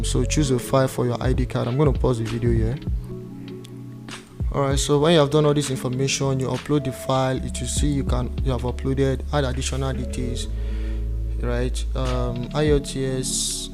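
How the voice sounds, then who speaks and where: A young Nigerian man with a Nigerian accent, talking through each step of a screen-recorded tutorial and muttering to himself at times. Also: mid-range voice. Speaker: tutorial presenter. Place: indoor room.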